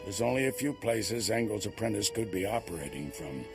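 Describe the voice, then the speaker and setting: An actor playing a decisive Jedi ally in a video game cutscene, commanding and dryly humorous. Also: male voice, lowish voice, expressive, brisk, over music. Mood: resolute. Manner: commanding, dry.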